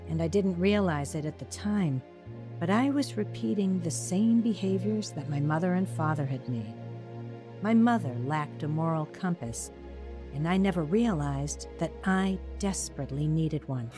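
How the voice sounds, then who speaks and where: A woman playing a narrator who looks back on her troubled youth in measured, reflective audio drama storytelling. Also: fairly low pitch, animated, mellow, faint music behind, close to an everyday voice. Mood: reflective, regretful. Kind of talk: scripted first-person dramatic narration.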